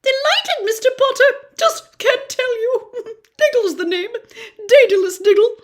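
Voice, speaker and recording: A woman voicing an excitable elderly male wizard who gushes and giggles in a shrill voice. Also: measured pace. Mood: ecstatic.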